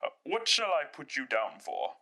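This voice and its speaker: Man playing courteous, bright-voiced charity gentleman. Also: fairly high pitch, huge pitch swings, normal speed, pitched above the performer's own voice, exaggerated voice. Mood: solemn appeal. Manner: courteous, formal, solemn. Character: polite, earnest, portly gentleman collecting for charity. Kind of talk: classic radio drama acting.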